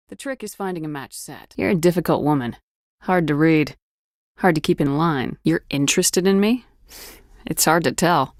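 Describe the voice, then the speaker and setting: A tough female military commander from a video game, acted by a woman. Her voice sits on the low side and is recorded close and dry. She speaks with firm authority and a guarded, wry edge. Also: no room sound, natural voice. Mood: probing. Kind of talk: video game dialogue acting.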